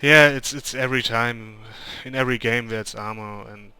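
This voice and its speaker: A man's voice: medium pitch, steady tone, normal speed, sharp tone, clean tone, rough recording. A young German man with a German accent, speaking English as a second language, giving hesitant gameplay commentary. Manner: hesitant, many ums and restarts. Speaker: gamer.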